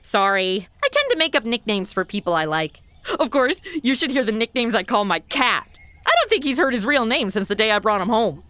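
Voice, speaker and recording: A woman's voice: on the high side, big pitch swings, a little fast, pushed and bright, no room sound. An actress playing a breezy, irreverent modern woman with a flippant, slangy manner in a comedy audio drama.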